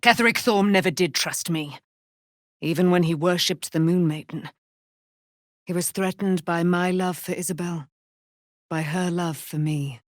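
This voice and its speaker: A woman's voice: lowish voice, unhurried, long pauses, no echo, studio quality, close to an everyday voice. An actress voicing a proud, vengeful immortal warrior woman in a fantasy game, with grand, archaic, declamatory delivery. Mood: solemn, brooding. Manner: declamatory, archaic, grand, speaks of herself in third person. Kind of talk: dramatic fantasy game acting.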